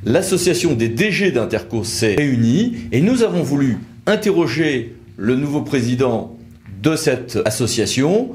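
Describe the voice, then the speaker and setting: A male host with a voice of average pitch. He presents in a scripted briefing style and puts formal questions as an interviewer. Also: big pitch swings, measured pace, darkish tone, a little hiss or hum.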